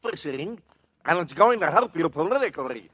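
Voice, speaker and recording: A man's voice: a little high, big pitch swings, moderate pace, a bit bright, slightly rough, lo-fi sound, exaggerated voice. A man playing a chatty, wisecracking waterways commissioner in a 1940s radio comedy. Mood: breezy, joking.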